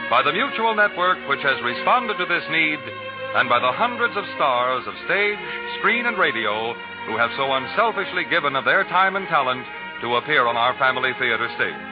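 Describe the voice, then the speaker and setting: A man announces over loud music in a formal, polished 1940s radio style. His voice sits fairly high and moves with lively inflection. The old broadcast sound is dull and muffled. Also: moderate pace.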